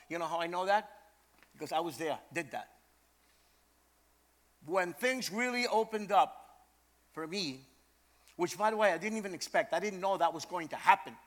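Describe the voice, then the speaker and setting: High-voiced older New man preaching. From Brooklyn, New York, USA. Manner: animated, emphatic, repeats phrases for effect. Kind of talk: storytelling sermon.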